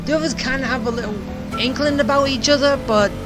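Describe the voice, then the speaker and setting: A woman's voice: high voice, fast talker. A woman gaming streamer giving casual, bantering reaction commentary as she plays. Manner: informal, bantering. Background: game music.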